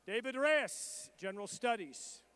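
A man reading out graduates' names and their programs at a commencement ceremony, in a measured and formal roll-call delivery. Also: extremely high pitch, expressive, sharp tone, smooth. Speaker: commencement name reader.

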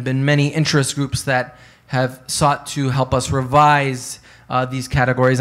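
A man in his thirties giving a conversational academic talk on a panel, speaking into a handheld microphone in a hotel conference room, with many fillers and hedges. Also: mid-range voice.

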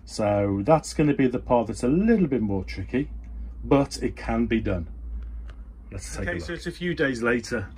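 A man's voice: mid-range voice, expressive, moderate pace, a little mellow. British man, casual demo over background noise. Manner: unscripted, hesitant, thinking aloud. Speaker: hobbyist presenter. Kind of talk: casual hands-on demonstration vlog. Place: small wooden workshop, indoors. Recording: noisy room ambience, handheld camera. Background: clear background noise.

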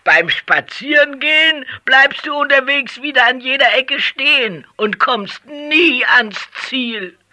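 A woman playing a scolding, crafty old witch mother in a children's audio play, with a raspy, cackling delivery and theatrical exclamations. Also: sits high, expressive, takes it slowly, few pauses, sharp tone, heavily acted. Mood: bossy.

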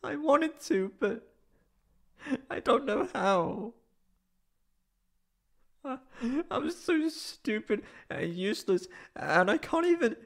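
A man's voice: very high voice, sing-song, slow, gravelly, long pauses, fairly bright tone, full character voice. A man voicing a proud but insecure, emotional tsundere catboy in an ASMR roleplay, with hesitant, stammering speech. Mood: distraught, tearful.

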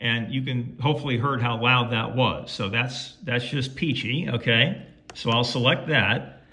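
A man's voice: mid-range voice, unhurried, sharp tone. A man giving a casual how-to walkthrough in a folksy way, talking himself through each step. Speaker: presenter of how-to video. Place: indoors at home, beside a tabletop radio. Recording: clearly noisy home recording with clicks.

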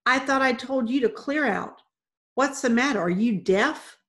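A woman voicing a bad-tempered, heavyweight custodian husband who grumbles gruffly, in a storybook reading. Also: mid-range voice, brisk, fairly bright tone, close to an everyday voice. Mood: angry.

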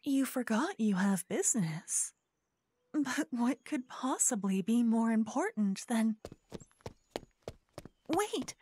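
A woman's voice: on the high side, very animated, slow, long pauses, darkish tone, breathy edge, full character voice. A woman playing a pushy suitor from a wealthy family in a fantasy audio roleplay, coaxing and over-attentive with false concern. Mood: falsely concerned.

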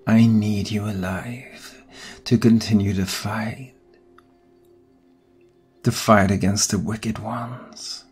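A man playing an ancient vampire captor in a whispered ASMR roleplay, soothing yet menacing, coaxing softly and deliberately. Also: lowish voice, steady tone, exaggerated voice. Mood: earnest, intense. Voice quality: breathy whisper. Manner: slow, hushed, deliberate, coaxing. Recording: close voice over background music. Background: music.

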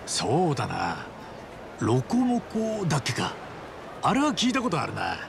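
An actor playing a friendly, rough-spoken, big-hearted man in a video game cutscene, with casual, chummy street slang. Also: a little high, wildly swooping pitch, measured pace, background noise, exaggerated voice. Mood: easygoing, upbeat.

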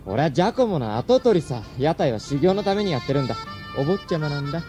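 A man's voice: fairly high pitch, big pitch swings, fast talker, dark tone, faint music behind. A man playing a breezy, cocky young pizza seller from a rich family in a Japanese radio drama. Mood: friendly, cocky.

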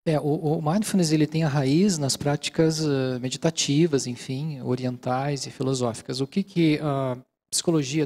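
A middle-aged Brazilian man giving a calm, explanatory lecture in a large auditorium, speaking into a handheld microphone and often checking in with the audience. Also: a little high. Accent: Brazilian Portuguese. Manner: calm, explanatory, many fillers like "né". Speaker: mindfulness lecturer. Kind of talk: relaxed lecture with audience questions.

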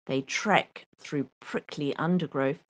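A woman reading a children's picture book aloud with expressive, theatrical delivery. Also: deep voice, takes it slowly. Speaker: storyteller.

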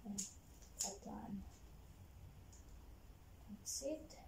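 A woman in her thirties from the Philippines with a Filipino accent, whose first language is Tagalog, vlogging casually at home in halting English, giggling and searching for words, recorded by a camera across her living room. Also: medium pitch, creaky. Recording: echoey room with faint noise.

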